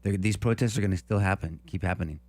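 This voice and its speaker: Deep-voiced male co-host, emphatic and repetitive, political talk. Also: fast, a little mellow. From Lebanese heritage. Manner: emphatic, repeats himself when giving advice. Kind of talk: political talk show chat.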